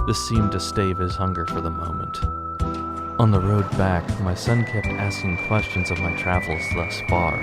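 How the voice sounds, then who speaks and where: A man playing a determined suburban father turned rebel leader, narrating an amateur radio drama in earnest, formal phrasing. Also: low-pitched. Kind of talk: scripted first-person radio drama narration. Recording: music under the voice.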